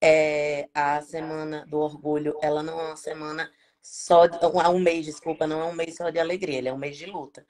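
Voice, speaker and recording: A woman's voice: deep voice, level tone, brisk, darkish tone. Woman lawyer with northeastern Brazilian accent. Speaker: lawyer guest. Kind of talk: casual live-stream talk.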